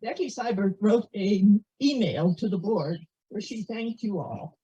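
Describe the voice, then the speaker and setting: A dull, lo-fi recording of a woman speaking slowly. Her voice is very raspy as she gives appreciative remarks at a meeting. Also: mid-range voice, mellow. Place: community meeting, captured on a video call. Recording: dull, lo-fi meeting audio over video call.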